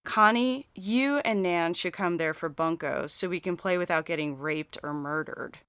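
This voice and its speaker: A woman's voice: lowish voice, normal speed, a bit bright, clean tone, dry recording. A woman playing a fearful, snobbish suburban wife in an audio story, with a dramatic, gossipy delivery. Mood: alarmed. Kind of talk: scripted audio fiction reading.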